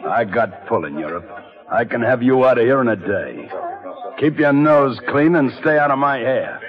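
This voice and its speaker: An actor playing a curt, menacing gangster who poses as respectable, in an old radio drama. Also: male voice, medium pitch, big pitch swings, normal speed, close to an everyday voice. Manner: clipped tough-guy threats. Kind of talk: 1940s-50s radio drama acting. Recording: muffled old radio recording, music behind.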